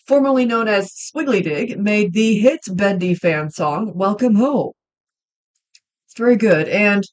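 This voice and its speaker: This young woman's voice sits at an ordinary middle pitch. She chats loosely on a livestream while she draws. Her talk rambles, with frequent ums and self-corrections. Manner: rambling, hesitant, many ums and restarts. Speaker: livestreamer and hobby voice actor. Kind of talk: casual livestream chat while drawing.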